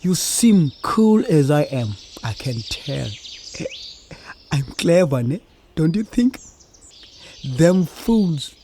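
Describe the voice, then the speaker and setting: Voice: medium pitch, very animated, slow, long pauses, dark tone, a little hiss or hum. An actor with a South African accent playing a lazy, boastful dassie in a children's comedy audio play, talking in a smug drawl. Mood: smug, scheming. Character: lazy, boastful trickster dassie, pretending to be too cool.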